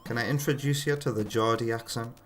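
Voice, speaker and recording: A man's voice: mid-range voice, fairly even intonation, a little fast. A British man from the UK, chatting casually on a live game stream, reading out chat messages and muttering at the game. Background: game music.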